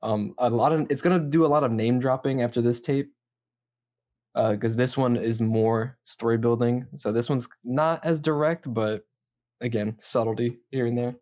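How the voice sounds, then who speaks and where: A man's voice: mid-range voice, normal speed, darkish tone. A man in a casual chat as a host, explaining things in a hesitant, rambling way. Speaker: podcast host explaining a horror series. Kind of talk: casual chat, reacting to videos.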